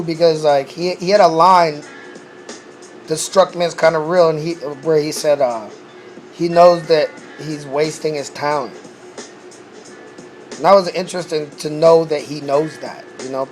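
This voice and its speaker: A man who says he is losing his voice, recapping a rap battle in a casual, profane way and often saying "you know what I'm saying". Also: on the high side.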